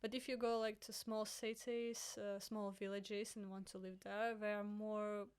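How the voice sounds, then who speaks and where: A woman from Latvia with a Russian accent, speaking as a guest in an earnest, halting way. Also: mid-range voice, little pitch movement, darkish tone, smooth.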